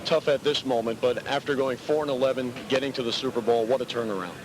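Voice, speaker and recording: A man's voice: mid-range voice, fairly even intonation, quick pace. An American man working as a sports reporter, interviewing after a game on a noisy live radio broadcast from a dressing room.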